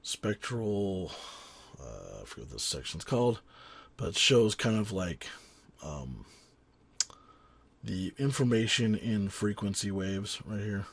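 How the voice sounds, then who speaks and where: A man teaching audio cleanup in a casual tutorial, rambling with frequent ums and restarts, his voice raspy from a cold. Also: fairly low pitch, big pitch swings, slow, long pauses. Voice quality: husky. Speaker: sound engineer teaching a tutorial. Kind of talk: casual screen-recorded software tutorial.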